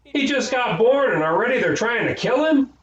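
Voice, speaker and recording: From across an echoey room comes a brash, indignant schoolgirl acted out by a man. The pitch jumps well up and swings about as this tough, bossy storybook kid protests. The sound is dull, with some background noise. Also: lively intonation, normal speed, a bit bright. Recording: echoey room, distant mic, noisy, dull.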